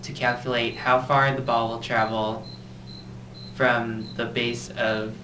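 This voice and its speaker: A man's voice: mid-range voice, flat delivery, slow pace. Male physics explainer, scripted delivery, echoey amateur sound with faint noise. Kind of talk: scripted educational narration. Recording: roomy, distant, noisy amateur recording.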